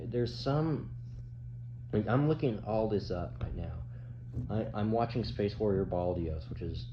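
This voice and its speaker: Young male commentator, low-pitched, rambling casual opinions, echoey room with noticeable noise. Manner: rambling, halting, swears casually. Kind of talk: casual off-the-cuff opinion chat. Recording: roomy, dull, with clear background noise.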